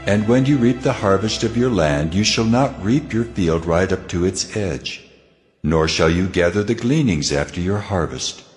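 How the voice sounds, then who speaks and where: An actor playing God in a dramatized scripture reading, solemn, measured and commanding as he hands down laws. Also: deep voice, animated. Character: God, commanding solemn lawgiving male deity. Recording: long cavernous reverb, faint music.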